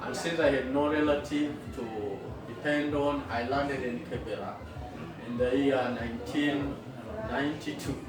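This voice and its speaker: A middle-aged man with a Kenyan accent, a school founder, telling his story in an interview in an earnest, unhurried way with frequent fillers. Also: medium pitch, fairly even intonation, a loud crowd. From western Kenya. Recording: echoey room, far from the mic, with noticeable background noise.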